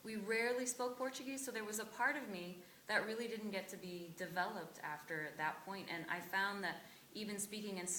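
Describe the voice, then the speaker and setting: A woman's voice: mid-range voice, a little fast, pushed and bright, slight background noise. A woman from Brazil, a cast member, giving an earnest personal reflection at a theatre talkback. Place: theatre auditorium. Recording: echoey theatre, distant mic.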